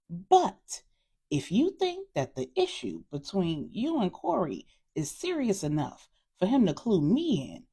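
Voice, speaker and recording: A woman voicing a reasoning grown sister in an audio story, measured, earnest and gently firm. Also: lowish voice, breathy edge.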